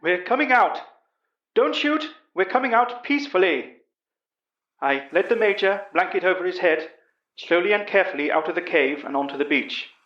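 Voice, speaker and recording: A man's voice: high voice, animated, moderate pace, a bit bright. A man playing an elderly English professor who earnestly recounts a horror story, in a roomy, slightly dull recording. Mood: urgent. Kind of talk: first-person horror audio drama narration.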